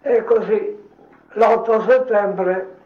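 An elderly man, a grandfather, informally recounting his memories as a veteran in short, halting phrases. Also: sits high, echoey room, dull audio. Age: older, a grandfather. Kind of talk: informal spoken reminiscence.

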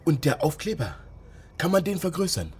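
A man's voice: a little high, big pitch swings, normal speed, a little mellow, slight background noise. An actor playing a hunted, agitated young man in a radio drama, speaking in short clipped phrases. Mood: focused. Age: young adult. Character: hunted young Ghanaian man living illegally, wary and agitated. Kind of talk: naturalistic radio drama acting.

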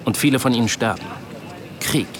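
A man's voice: mid-range voice, fairly quick, natural voice. A man acting a supremely self-assured, erudite Iroquois army sergeant in a German radio play, speaking formally, politely and eloquently. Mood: sardonic, resolute. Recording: clearly noisy outdoor ambience behind.

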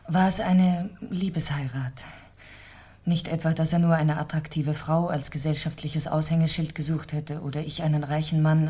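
An actress playing a cool, composed wealthy middle-aged wife, newly widowed, in a deliberate, articulate 1970s German radio crime drama style. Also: female voice, low-pitched, warm tone, husky.

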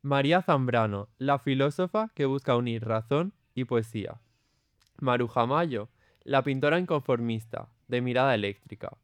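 A man's voice: medium pitch, animated, moderate pace, no echo. Male narrator for audio drama: clean resonant voice, solemn literary read, dry booth sound. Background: music.

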